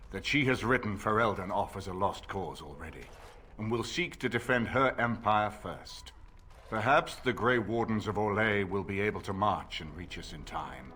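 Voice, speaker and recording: An actor playing a dignified, thoughtful older nobleman, measured and earnest. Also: low voice. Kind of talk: fantasy game dialogue.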